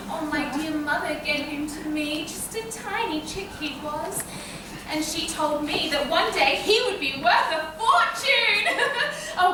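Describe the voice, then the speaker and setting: A woman's voice: sits high, noisy background. A woman playing a sweet, poor young woman who speaks in a wistful, old-fashioned way. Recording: echoey room from far off.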